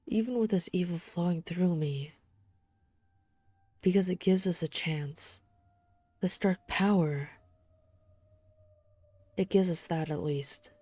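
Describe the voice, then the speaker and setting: A woman acting as a tormented female vampire hunter in an intimate roleplay drama. Her voice is lowish and mellow, and she speaks very slowly, with halting, dramatic pauses. She sounds burdened by guilt and a growing darkness. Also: dry recording. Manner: slow, halting, dramatic pauses, breathy sighs.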